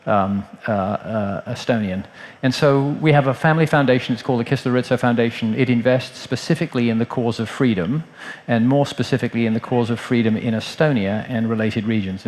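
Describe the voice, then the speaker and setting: Texan man, relaxed panel speaker. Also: mid-range voice. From Texas. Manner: relaxed, wry, many ums and uhs. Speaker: panelist, entrepreneur and investor. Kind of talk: panel introduction.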